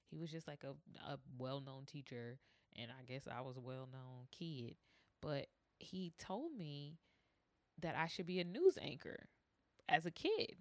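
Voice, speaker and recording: A woman with a deep voice, hosting a podcast and telling a personal story in a candid, conversational way, acting out quoted dialogue. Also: animated, unhurried, dry recording. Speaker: podcast host.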